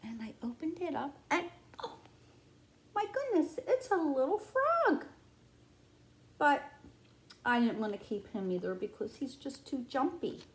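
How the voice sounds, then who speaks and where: A woman reads a picture book to preschoolers in a fairly high voice. Her delivery is slow and very animated, with the exaggerated wonder of a teacher at storytime. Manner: expressive, exaggerated wonder for small children. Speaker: preschool teacher reading to class. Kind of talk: children's picture book read-aloud.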